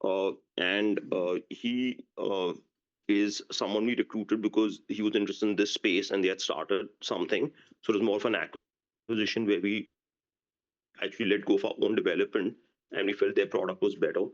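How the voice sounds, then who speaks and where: Low-pitched man, halting, on dull call audio. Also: steady tone. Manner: halting, often restarting phrases. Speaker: company executive answering on an earnings call. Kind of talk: unscripted business Q&A.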